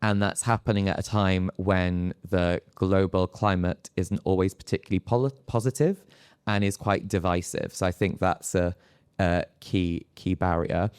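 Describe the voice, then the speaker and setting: Smooth, low-voiced man, halting delivery. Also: fairly even intonation. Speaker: panellist, university academic. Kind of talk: live panel discussion.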